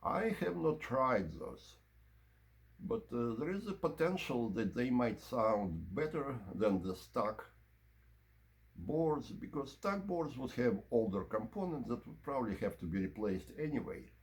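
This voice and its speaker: A man presenting an informal product review and demonstration, explaining in measured, short broken phrases. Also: mid-range voice, very animated. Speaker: presenter of audio equipment review.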